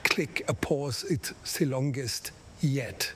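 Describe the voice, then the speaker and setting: Hesitant confessing machine mind, acted by a man, radio drama, drawn-out pacing, medium pitch. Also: fairly bright tone, a little hiss or hum. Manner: hesitant, halting with long pauses. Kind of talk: scripted radio play.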